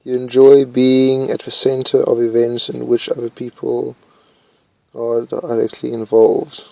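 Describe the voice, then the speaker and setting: Young man, slow and flat, gravelly fry, thinking aloud with hesitations; dry close recording. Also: mid-range voice, dry recording, poor audio. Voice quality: says his voice is loud. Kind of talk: casual commentary while reading test questions aloud.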